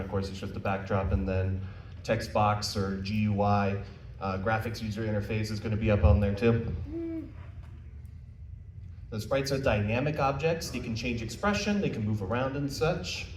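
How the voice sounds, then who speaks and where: American male panel presenter, informal and jokey; echoey room recording with faint background noise. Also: mid-range voice. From United States. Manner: casual, self-deprecating, jokey asides. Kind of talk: informal presentation to a live audience. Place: indoor convention panel room. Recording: roomy, distant mic, faint noise.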